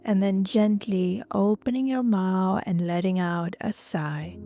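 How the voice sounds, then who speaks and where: A woman leading guided relaxation and breathwork as a yoga instructor, gentle and soothing, often trailing off into a breathy near-whisper. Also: mid-range voice, fairly even intonation, unhurried. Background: soft music.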